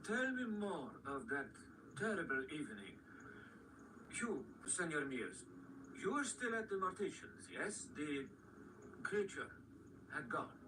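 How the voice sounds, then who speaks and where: A man's voice: on the high side, big pitch swings, measured pace, long pauses. A man acting a grave priest who questions witnesses in a radio drama, in a distant, noisy recording. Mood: solemn, probing.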